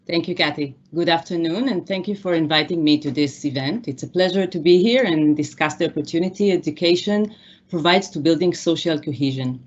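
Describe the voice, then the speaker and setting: Low-voiced Israeli woman on a dull line. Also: brisk. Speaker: panelist. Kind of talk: prepared panel statement.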